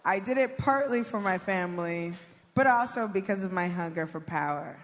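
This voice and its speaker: A woman comedian on a live panel, reading out comic clues in a measured, deliberate way. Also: mid-range voice, lively intonation. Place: live stage before an audience. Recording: echoey hall, faint noise.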